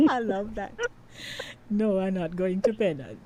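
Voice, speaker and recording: A middle-aged woman hosting a call-in radio show, chatty and quick to laugh. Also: mid-range voice, animated, mellow. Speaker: radio host.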